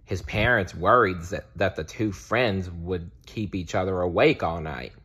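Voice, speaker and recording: Male children's read-aloud narrator: deliberate and expressive, unhurried, with a bright, raspy edge. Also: low voice. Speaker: storybook reader.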